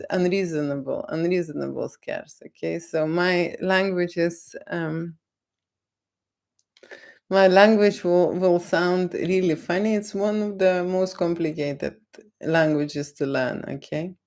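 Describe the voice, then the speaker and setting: A woman with a Lithuanian accent, not a native English speaker, answering viewers' questions on a livestream in a slow, lecturing way and tagging "okay?" onto her points. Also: lowish voice, darkish tone. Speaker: photographer on a livestream. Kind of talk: live Q&A chat with viewers.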